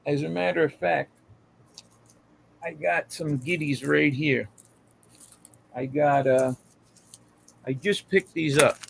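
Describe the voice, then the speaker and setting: A man's voice: on the high side, steady tone, takes it slowly, gravelly, pauses often, slight background noise. An American man in casual chat on a live hangout, with a slangy, profane way of talking.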